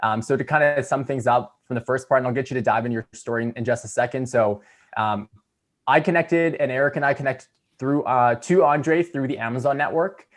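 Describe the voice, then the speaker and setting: A man's voice: medium pitch, brisk. A young man hosting a casual startup podcast, talking in an upbeat, enthusiastic way. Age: young adult. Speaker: podcast host.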